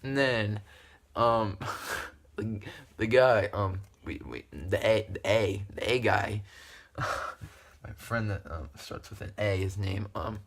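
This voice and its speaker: A man's voice: lowish voice, slow, long pauses, fairly bright tone. A young man telling a story casually to camera in a rambling, giggly, repetitive way. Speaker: video creator telling stories.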